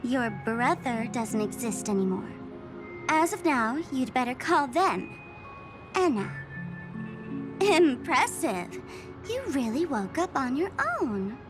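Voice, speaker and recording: A lively, bright-pitched masked jester, mocking and menacing, game cutscene music behind. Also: female voice, slow pace, pauses often, exaggerated voice. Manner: theatrical, teasing, laughs at her own jokes. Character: mocking masked female trickster, playful and menacing. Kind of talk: video game dub.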